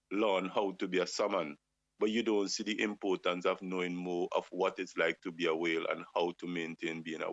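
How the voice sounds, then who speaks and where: A man's voice: low voice, creaky, dry recording. A man on a talk show, speaking with passion and firing off rhetorical questions. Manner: impassioned, asks rhetorical questions. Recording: dull lo-fi dry sound.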